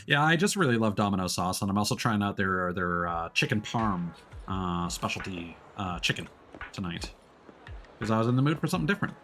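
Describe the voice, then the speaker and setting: Low-voiced man chatting on stream over music. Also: animated, fairly quick, fairly bright tone. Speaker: live streamer. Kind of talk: casual stream chat. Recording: music playing behind the voice.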